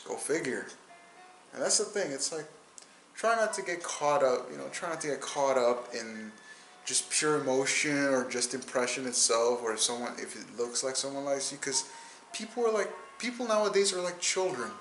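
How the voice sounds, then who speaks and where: A man's voice: medium pitch, expressive, normal speed, a bit bright, soft background music. Rambling young man in an echoey room. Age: 20s. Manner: rambling, repeats words and restarts. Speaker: podcast host. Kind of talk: casual solo podcast monologue.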